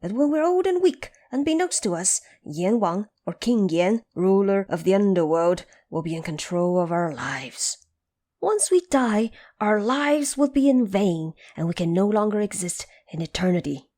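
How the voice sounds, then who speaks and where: A woman's voice: medium pitch, sing-song, moderate pace, warm tone. A woman voicing a proud male monkey ruler in a storybook audio drama, earnest and regal in delivery. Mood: worried, solemn.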